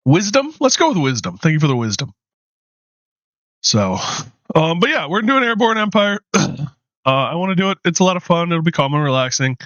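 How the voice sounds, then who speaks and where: A tired man streaming a game, chatting casually in a low-key, rambling way, his voice slightly hoarse. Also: big pitch swings, fairly quick, long pauses, a bit bright, no echo, lo-fi sound. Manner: low-key, rambling with frequent ums and uhs. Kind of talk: casual live-stream chat.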